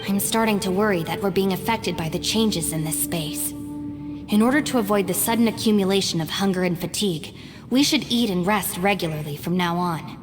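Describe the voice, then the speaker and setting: A woman's voice: mid-range voice, moderate pace, lightly acted. An actress playing a level-headed, weary young woman who keeps a gang in order, speaking frankly in an anime video game. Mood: weary, sensible. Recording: long reverb, music behind.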